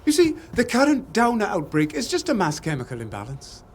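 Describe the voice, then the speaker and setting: An actor playing a pompous, irritable, callous scientist in a video game. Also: male voice, high-pitched, wildly swooping pitch, brisk, a little hiss or hum. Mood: smug, condescending. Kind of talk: video game character acting.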